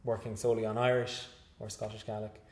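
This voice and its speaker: A male researcher giving an interview after a lecture, speaking in a measured, hesitant way with many fillers and restarts. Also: lowish voice, flat delivery, a little mellow. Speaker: guest researcher. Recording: echoey room.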